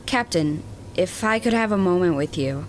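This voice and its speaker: A woman playing a matter-of-fact starship doctor in a science fiction audio drama, explaining things in a measured, clinical way. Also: lowish voice, lively intonation, a little hiss or hum, natural voice. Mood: grave, explanatory.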